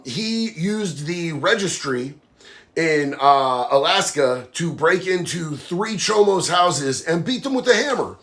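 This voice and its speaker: A man's voice: on the high side, fairly bright tone. Male streamer, nasal with a sinus infection, blunt and heated delivery. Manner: blunt, heated, says bro a lot. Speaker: livestream host. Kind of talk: casual live chat reading comments.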